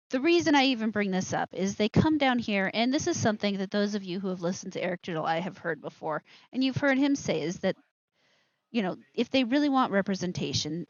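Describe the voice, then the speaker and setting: A woman's voice: mid-range voice, dry recording, dull audio. A woman hosting a live show with casual commentary, rambling and interrupting herself with asides.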